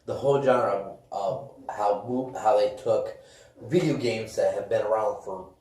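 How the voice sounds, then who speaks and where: A man's voice: fairly low pitch, a little slow, darkish tone. A man co-hosting a casual fan chat, speaking in an informal, rambling way. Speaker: co-host of a pop culture video show. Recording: roomy echo, distant mic, faint noise.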